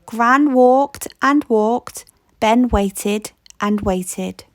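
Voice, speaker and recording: A woman reading a children's picture book aloud, slow and expressive with a playful rhythm. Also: a little high, no room sound, studio quality. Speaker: storybook reader.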